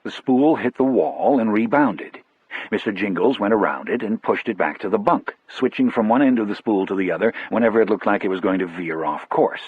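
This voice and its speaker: A man narrating a first-person audiobook story in a measured, reflective manner. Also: on the low side, husky, dry recording, narrow, tinny audio.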